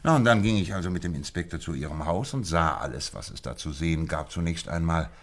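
A confident detective in an old-school radio play, deep voice, precise, lecturing, very sure of himself. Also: male voice, lively intonation, fast. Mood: explanatory, assured. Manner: lecturing, precise, self-assured. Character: confident, methodical detective explaining his deductions. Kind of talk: German radio play acting.

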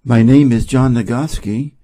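American male host reading a formal channel intro, mellow and a bit husky. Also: mid-range voice, measured pace. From Wisconsin, USA. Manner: plain, formal self-introduction.

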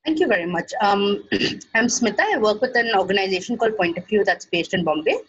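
A woman's voice: medium pitch, expressive, brisk, fairly bright tone, a little hiss or hum. A woman on a webinar panel, friendly but hesitant with fillers, speaking over a video call. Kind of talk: webinar discussion. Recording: dull, dry video call audio.

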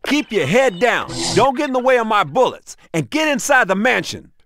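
An American actor playing a bossy, exasperated cop with dry sarcasm in a video game. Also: male voice, very high voice, sing-song, normal speed, fairly bright tone, dry recording, exaggerated voice. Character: bossy exasperated male cop sniper partner.

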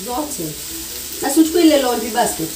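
A woman's voice: a little high, big pitch swings, fast talker, background noise. Chatty Kenyan woman cooking in an echoey kitchen. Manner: chatty, mixes Swahili slang and English, teasing. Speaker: vlogger. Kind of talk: casual chat while cooking. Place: small home kitchen, indoors. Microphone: camera on the counter, a few feet away. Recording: echoey kitchen, far mic, loud sizzling. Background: frying and sizzling pans.

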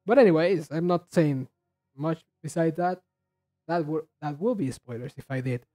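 A man giving casual commentary as a reaction video host, rambling haltingly and often repeating and restarting his words. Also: fairly high pitch, mellow, faint music behind. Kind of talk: casual commentary on game trailers.

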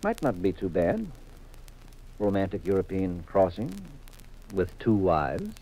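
Low-voiced wry detective. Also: male voice, huge pitch swings, measured pace, full character voice, low-quality recording. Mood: reluctant, amused. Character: easygoing, wry male detective, a married man. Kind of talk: 1950s radio drama acting.

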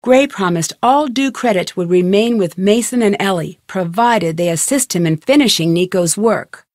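Young female detective narrator, acted: medium pitch, composed scripted storytelling, no room sound. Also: normal speed, darkish tone, dry recording, studio quality, close to an everyday voice. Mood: composed, reflective. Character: young woman detective narrating an epilogue letter. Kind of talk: scripted narration in a video game.

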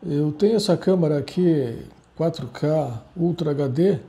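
Guy with a gravelly dark voice rambling thru a how-to, lots of filler tags, camera at a table indoors. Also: a little high, a little slow. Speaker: channel host. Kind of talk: casual how-to tutorial. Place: indoors at a table, filming objects and a laptop screen.

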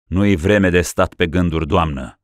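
Man doing a calm, ruthless boyar, deep and deliberate. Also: no echo, clean studio sound, close to an everyday voice. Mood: urging. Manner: cold, deliberate. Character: cold ruthless male boyar conspirator.

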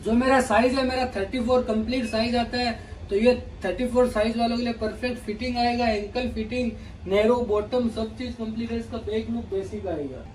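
A man in his thirties presenting jeans to camera in a large clothing store, in an energetic hard-selling pitch that keeps calling viewers brother. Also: a little fast, distant mic, low-quality recording. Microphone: camera mic at a distance. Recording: voice from off mic with faint room noise.

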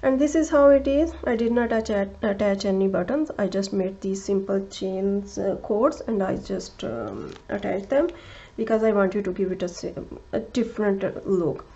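A woman who speaks English as a second language gives a crochet tutorial, talking slowly and carefully, with many fillers and restarts, and counting stitches aloud. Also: mid-range voice, mellow, slightly rough, muffled recording. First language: not English. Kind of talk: step-by-step craft tutorial.

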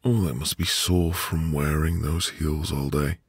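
A man playing a tender, devoted newlywed husband in a soft, intimate, romantic ASMR roleplay with lingering pauses. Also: a bass voice, fairly even intonation, background noise. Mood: adoring, caring. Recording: music behind the voice. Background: music.

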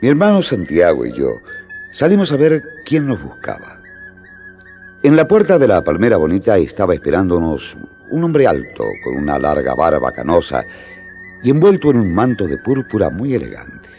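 An actor narrating as a male disciple, telling the story calmly in a radio drama. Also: on the low side, moderate pace, faint music behind. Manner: calm storytelling.

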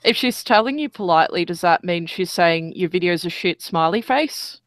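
A woman's voice: on the low side, animated, moderate pace, no room sound. A woman chatting on a group voice call, rambling with fillers and laughing often. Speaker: online video creator on a group call. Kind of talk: casual group chat.

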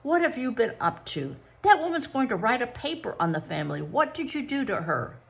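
A woman voicing a cheerful, nosy father of a large family, speaking a little higher and louder than her reading voice. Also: sing-song, fairly quick, creaky. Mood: weary.

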